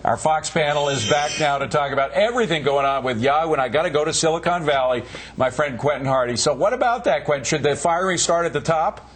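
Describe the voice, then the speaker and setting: A man's voice: on the high side, lively intonation, fast, husky. A man hosting a business news panel, steering the talk with joking banter. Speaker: news show host.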